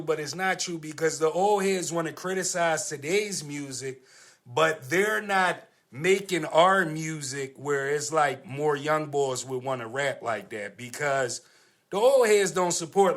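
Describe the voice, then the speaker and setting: A man's voice: a little high, a bit bright. A man hosting a casual panel discussion, talking in slangy, argumentative phrases that he repeats for emphasis. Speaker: podcast host.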